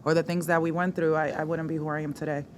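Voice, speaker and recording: A woman from the Dominican Republic, a sports host and movement coach, speaking as a guest in a casual podcast interview, animated and quick to laugh, dropping in Spanish words. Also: deep voice, a little hiss or hum.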